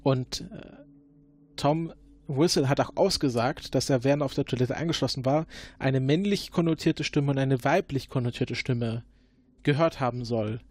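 A man in an improvised role-play, questioning formally and probingly as an investigator, often halting mid-sentence. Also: mid-range voice, a little mellow, dry recording. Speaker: player acting as investigating agent.

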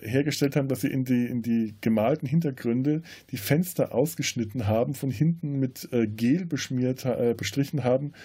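A man's voice: mid-range voice, dark tone, slightly rough. A man co-hosting a podcast, casually discussing film and often restarting his phrases. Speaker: podcast co-host.

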